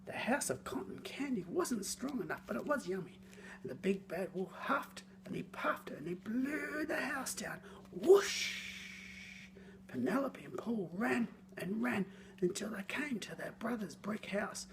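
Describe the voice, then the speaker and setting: Very animated woman storyteller, creaky edges. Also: mid-range voice, unhurried, background noise. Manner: theatrical, expressive, lingering on words. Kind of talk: scripted children's story reading.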